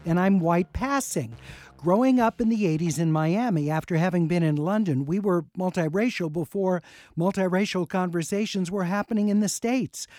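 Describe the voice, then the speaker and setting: A man's voice: fairly high pitch, darkish tone, no room sound, studio quality. A man hosting a radio call-in show, chatting casually and reading listener comments aloud. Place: radio studio. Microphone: studio microphone.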